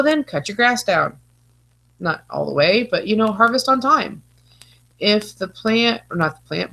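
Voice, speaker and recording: A woman teaches over a video call with a stuffy, congested nose. Her voice sits a little low, and her talk is chatty and loose, full of playful asides. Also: fairly bright tone. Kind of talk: online presentation lecture.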